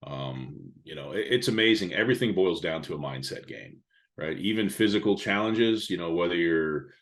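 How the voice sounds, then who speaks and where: A middle-aged man from the Indianapolis area with a loud radio-style voice, chatting casually as a podcast guest and trailing off quietly at the ends of phrases. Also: low voice, lively intonation, normal speed. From Indianapolis area, USA. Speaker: podcast guest, speaker and author. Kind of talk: casual interview talk.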